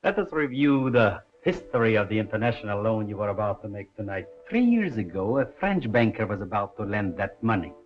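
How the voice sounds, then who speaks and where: An actor playing a cold, calculating male villain in a 1930s crime film, speaking formally and in a measured, menacing way. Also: lowish voice, very animated. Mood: cold menace. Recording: muffled 1930s film soundtrack, faint music.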